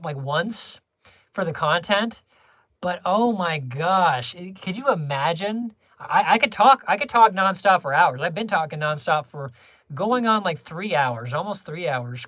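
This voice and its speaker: A young adult livestreamer chatting casually with viewers, rambling through many restarts and small laughs in a low-key, homebody way. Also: a bit bright, no echo.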